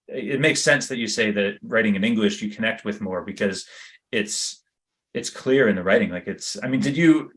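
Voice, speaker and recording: A man hosting an interview, enthusiastic and chatty with frequent stumbles and restarts. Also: on the low side. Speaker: interview host. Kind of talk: casual interview.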